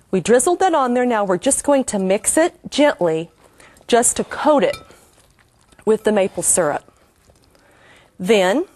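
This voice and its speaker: A woman's voice: mid-range voice, a little mellow, dry recording. Chatty woman hosting a cooking demo. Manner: homey, enthusiastic, chatty instructions. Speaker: cooking show host. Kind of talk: cooking demonstration.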